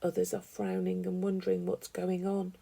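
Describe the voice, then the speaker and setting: A woman reading a children's story aloud with animated, dramatic emphasis. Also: on the low side, normal speed, gravelly, husky. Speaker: storybook reader.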